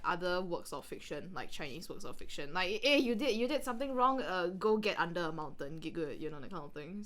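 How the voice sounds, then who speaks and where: Woman podcast cohost, lively up and down voice, blunt n flippant, just chatting. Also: fairly low pitch. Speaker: podcast co-host commenting on a novel. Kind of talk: casual chat, joking commentary.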